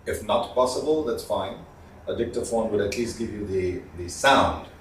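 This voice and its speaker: A man giving an instructional talk as a communication trainer, explaining with emphatic stressed words and pauses. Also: lowish voice. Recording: echoey room, distant mic, faint noise.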